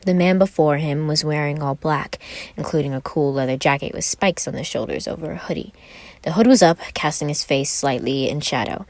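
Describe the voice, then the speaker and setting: A woman's voice: low voice, brisk, darkish tone, dry recording. A woman who hosts and reads fan fiction, moving between casual chat and story narration. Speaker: podfic reader and channel host.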